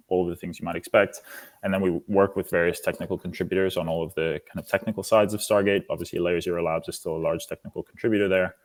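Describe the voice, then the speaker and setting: A man in his twenties from Singapore, explaining his work as an interview guest. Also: low voice. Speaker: interview guest, foundation lead. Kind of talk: interview answers, explanatory talk.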